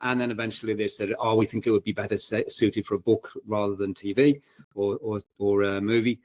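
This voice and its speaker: A man telling anecdotes as a panel guest, informal and halting, often correcting himself as he goes. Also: on the low side, steady tone, darkish tone, dry recording. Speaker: panel guest, self-published author. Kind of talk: casual anecdotal chat.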